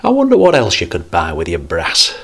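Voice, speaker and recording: A man's voice: sits low, wildly swooping pitch, brisk, a bit bright, slightly rough, exaggerated voice. A man voicing a jovial, preachy older man, raising his voice above his narration. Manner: pleading, then cheery and coaxing.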